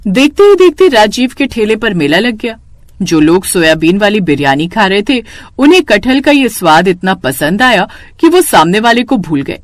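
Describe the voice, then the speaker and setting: A woman's voice: mid-range voice, very animated, normal speed, no room sound, clean studio sound. A woman narrating a scripted moral story.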